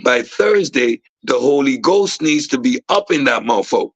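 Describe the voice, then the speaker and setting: A man's voice: medium pitch, expressive, measured pace, slightly rough, no room sound, lo-fi sound. A man talking casually and emphatically, restarting often and checking in with "right?". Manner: emphatic, many restarts, keeps asking "right?". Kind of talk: casual discussion.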